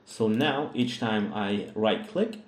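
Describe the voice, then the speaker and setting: A man with a Russian accent, hosting a software tutorial in a hesitant way, with many fillers and frequent "all right?" checks. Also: on the low side, slow pace. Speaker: tutorial host. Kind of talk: screen-recorded software tutorial. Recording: echoey room.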